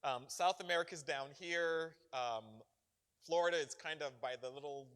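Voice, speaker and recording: A middle-aged man from Barbados, a bishop answering questions at a parish gathering with animated, preacherly emphasis and frequent laughter. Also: on the high side, moderate pace, smooth. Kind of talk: parish Q&A talk.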